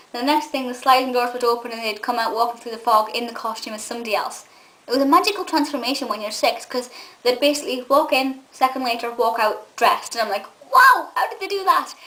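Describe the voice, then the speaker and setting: A young woman from England chatting casually to camera, enthusiastic and rambling, with a slightly gruff voice. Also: a little high, fairly bright tone, poor audio. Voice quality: says she has a gruff voice. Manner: enthusiastic and nostalgic, rambling with many ums. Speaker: vlogger and film reviewer.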